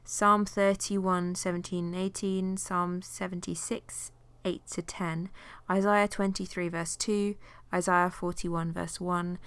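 A woman with a cold teaches an informal Bible study, her lowish voice noticeably nasal. She chats with plenty of fillers and reads long passages aloud. The recording is dry and close, with no room sound. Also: little pitch movement, slow, few pauses, a bit bright, clear, resonant tone, no echo. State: has a cold.

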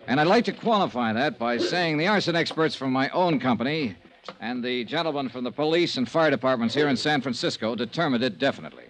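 Man playing brisk insurance investigator, vintage radio drama. Middle register, factual, clipped delivery, slightly noisy recording. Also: mid-range voice, expressive, a bit bright, lightly acted. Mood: measured, factual. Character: brisk, matter-of-fact male insurance investigator. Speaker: lead actor and narrator of a detective radio serial. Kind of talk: 1950s radio drama acting and first-person narration.

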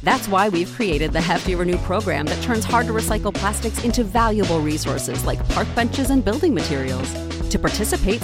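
Woman's ad voice-over over music. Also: mid-range voice. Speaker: commercial voice-over announcer. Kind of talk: scripted modern ad narration.

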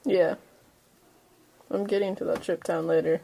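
A woman's voice: on the low side, steady tone, measured pace, vocal fry, mellow, a little hiss or hum, low-quality recording. A woman playing a tabletop roleplaying game and chatting casually with the group. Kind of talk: casual chat during a game.

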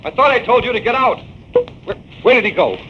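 Crooked shopkeeper, American, suspicious, gruff, highly animated, vintage radio crime drama, dull noisy recording. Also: male voice, a little fast. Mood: startled, confused.

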